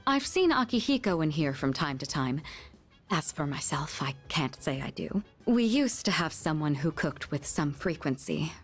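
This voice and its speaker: A woman's voice: low-pitched, very animated, normal speed, faint music behind. A woman voicing a refined, commanding senior schoolgirl in a game, polite and formal. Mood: composed, courteous. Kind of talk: game voice acting.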